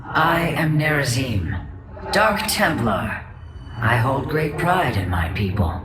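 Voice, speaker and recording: An actress voicing a proud, stern alien warrior matriarch, grave and formal in a game cutscene. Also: female voice, rumbling low, sing-song, takes it slowly, very noisy, exaggerated voice. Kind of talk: dramatic video game cutscene acting. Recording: echoing voice over loud game music.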